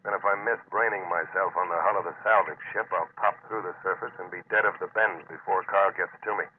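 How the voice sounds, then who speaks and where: An actor playing a stubborn, grimly determined deep-sea diver in an old-time radio suspense drama, speaking and narrating in a terse, intense, hard-boiled way. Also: male voice, steady tone, quick pace, natural voice. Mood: grimly resolved. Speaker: lead actor and first-person narrator. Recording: noisy, tinny old radio recording.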